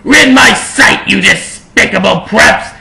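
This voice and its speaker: A man's voice: sits high, creaky, crowd noise, pitched above the performer's own voice, heavily acted. A man voicing a fat villainous wizard's henchman, melodramatic and overwrought, in a story reading. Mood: scornful. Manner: overwrought, drawn-out.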